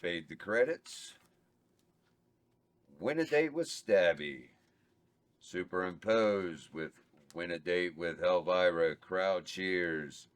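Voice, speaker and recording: A man with a very low voice reads out stage directions at a script table read. He keeps a matter-of-fact tone, even through the bawdy parts. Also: slow. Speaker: narrator reading stage directions. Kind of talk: reading script directions aloud.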